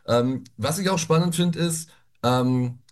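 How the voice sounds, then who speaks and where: A man's voice: medium pitch, slow, a little mellow. A man hosting a radio show, interviewing a band singer in a chatty way. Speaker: radio host.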